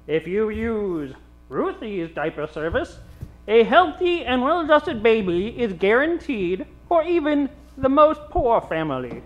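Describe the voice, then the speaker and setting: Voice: mid-range voice, big pitch swings, measured pace, faint music behind, rough recording. A performer playing a solemn, self-assured scientist in a parody radio endorsement.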